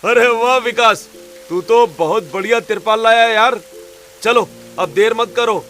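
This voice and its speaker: A man's voice: very high-pitched, a little slow, fairly bright tone, faint music behind, pitched above the performer's own voice. A man voicing a weary, hungry young farmer brother who complains earnestly in a cartoon story. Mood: eager. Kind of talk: Hindi cartoon story dialogue.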